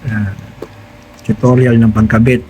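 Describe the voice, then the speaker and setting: A man's voice: medium pitch, little pitch movement, measured pace, mellow, a little hiss or hum, poor audio. A Filipino man hosting a casual hands-on tutorial vlog, chatting directly to his viewers. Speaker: vlogger hosting an unboxing and installation.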